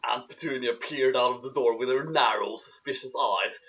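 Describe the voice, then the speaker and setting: A man's voice: medium pitch, normal speed, a bit bright, breathy edge, lo-fi sound. A man reading a story aloud in a comic, dramatic way and commenting on it. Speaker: narrator and commentator.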